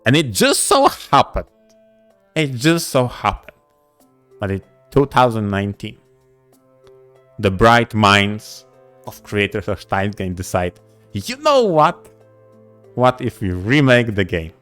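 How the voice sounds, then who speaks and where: A man with a fairly low voice talks casually as a podcast co-host. His phrasing is deliberate and broken into short, halting pieces. Soft music plays faintly behind him. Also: sing-song. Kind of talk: casual discussion of visual novels.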